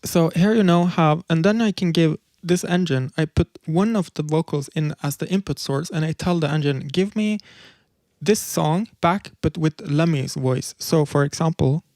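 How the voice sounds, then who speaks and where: Male presenter, slightly high voice, casual explainer, dry close mic. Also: normal speed, darkish tone, dry recording. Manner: loose explaining with filler words and restarts. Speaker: presenter demonstrating music tools.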